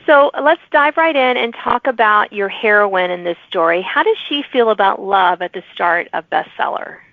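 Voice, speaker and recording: A woman's voice: medium pitch, lively intonation, normal speed, creaky, no echo, phone-quality sound. A woman hosts an interview podcast in a mid-range voice. The recording is dry, with very little room sound. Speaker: podcast host.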